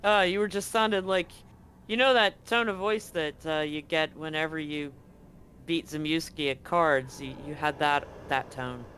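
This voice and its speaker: Guy playing a teasing crew member, chatty, voice pushed up a bit high, tabletop game. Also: moderate pace, pushed and bright, a little hiss or hum.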